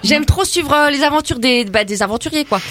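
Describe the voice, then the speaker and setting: A woman's voice: sits high, lively intonation, brisk, fairly bright tone, no echo. A woman playing brash banlieue girl Sophia. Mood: chatty. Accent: French banlieue slang. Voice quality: slangy, talks in a put-on street manner. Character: cheeky young suburban woman, brash and naive. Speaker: comedy columnist. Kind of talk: scripted radio comedy sketch.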